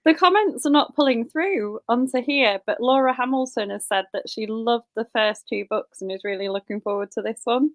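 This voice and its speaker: A woman's voice: on the high side, moderate pace, hardly any pauses. A woman hosting a book club author chat over a video call, chatty and enthusiastic. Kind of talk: casual interview hosting.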